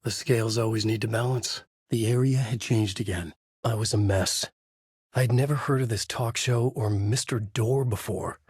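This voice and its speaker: An actor playing a tormented, haunted horror novelist, narrating a video game in grave, brooding noir fragments with a husky voice. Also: male voice, fairly low pitch, steady tone, normal speed, darkish tone, no echo, studio quality. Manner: grave, literary, brooding delivery in short fragments.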